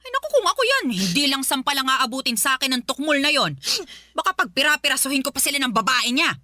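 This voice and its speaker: Feisty protective mother, blunt yet consoling, highish bright voice, radio drama. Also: very animated, quick pace, dry recording, clean studio sound, full character voice. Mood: indignant. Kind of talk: Filipino radio drama acting.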